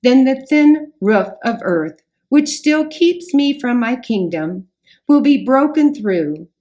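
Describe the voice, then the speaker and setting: A woman's voice: fairly high pitch, expressive, slow pace, warm tone. A woman voicing a formal, grandly courteous enchanted knight from a storybook, with old-fashioned, boastful speech. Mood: proud, determined. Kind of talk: storybook read aloud.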